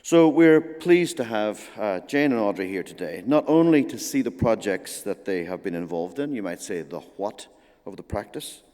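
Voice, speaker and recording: Man, moderator, formal introduction, measured and halting, deep-ish voice, reverberant hall. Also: expressive, darkish tone. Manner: measured, halting with frequent uh pauses. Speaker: event moderator introducing speakers. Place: lecture hall at a forum.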